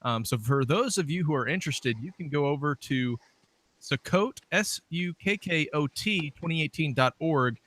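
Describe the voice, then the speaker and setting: Bright-voiced man hosting a show. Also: fairly high pitch, expressive, normal speed. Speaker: show host. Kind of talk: casual hosting with announcements.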